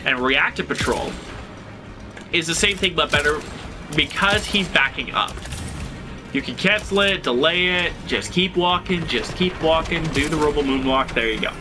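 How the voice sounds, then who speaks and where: A man's voice: fairly high pitch, moderate pace, background noise. A man with a slightly stuffy nose, hosting a tutorial and talking through fighting game moves in a casual, analytical way. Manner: analytical, conversational, thinking aloud. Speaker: video game guide host. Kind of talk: gameplay tutorial commentary.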